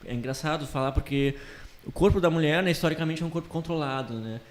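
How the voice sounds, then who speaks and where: A man's voice: on the high side, quick pace. A man in a casual podcast discussion, thinking aloud and seeking agreement with tag questions. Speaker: podcast co-host.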